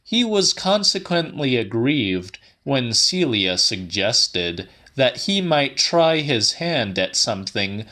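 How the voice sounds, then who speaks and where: A man narrating a literary audiobook in a dramatic, theatrical, deliberate manner. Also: medium pitch, very animated, bright, forceful tone.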